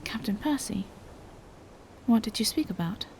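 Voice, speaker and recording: A woman voicing a gentle, bashful female vampire in an intimate roleplay audio, speaking in soft, hesitant half-whispers. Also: fairly high pitch, expressive, moderate pace, slight background noise, rough recording. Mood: tender, teasing. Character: gentle, bashful female vampire in monstrous true form, lovestruck.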